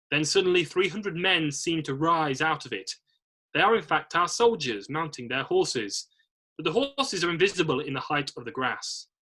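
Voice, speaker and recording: A man narrates a screenplay in a bright, forward voice that sits a little high. There is a marked huskiness to it as he reads scene headings and stage directions. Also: slightly rough. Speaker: narrator of a screenplay read-through.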